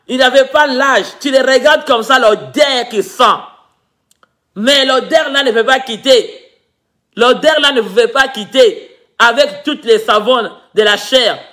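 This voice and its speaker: A man preaching a fervent sermon as pastor, breaking into shouts, whose first language is not the one he preaches in. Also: very high voice. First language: a Congolese language.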